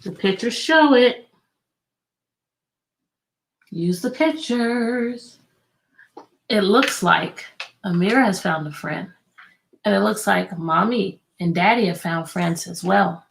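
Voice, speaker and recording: A woman teacher reading a children's book aloud, expressive and deliberate, prompting young listeners with questions. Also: medium pitch, gravelly, slight background noise, low-quality recording.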